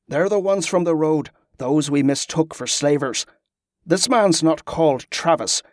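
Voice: mid-range voice, darkish tone, dry recording, clean studio sound, close to an everyday voice. A performer voicing a hard, suspicious young zealot, a male acolyte in a fantasy audiobook, curt and rustic in phrasing.

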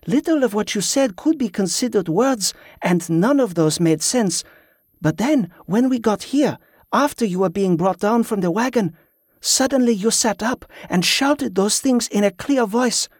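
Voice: medium pitch, lively intonation, measured pace, dry recording, clean studio sound, pitched above the performer's own voice. A narrator voicing a loyal, earnest wife who protects her husband, in an audiobook.

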